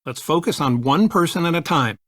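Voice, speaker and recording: A middle-aged American father in a video game. He is affectionate and level-headed, advising calmly and thoughtfully in a dry, close recording. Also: a little high, brisk, dry recording.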